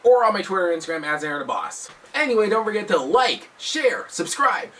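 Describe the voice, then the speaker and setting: A man hosting a video countdown, delivering scripted commentary with self-deprecating, jokey asides in an animated manner. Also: sits high, brisk, recorded from a distance. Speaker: host of a video countdown show.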